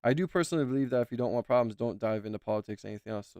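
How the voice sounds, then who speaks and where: A man's voice: medium pitch, rapid-fire, darkish tone, dry recording. A man commenting as a YouTuber, talking in a rambling, emphatic way and repeating himself. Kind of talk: casual reaction commentary.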